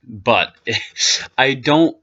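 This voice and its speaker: A man's voice: medium pitch, expressive, very slow, sharp tone, lo-fi sound. A man hosting an anime commentary video, giving his opinion in a rambling, casual way full of restarts and asides.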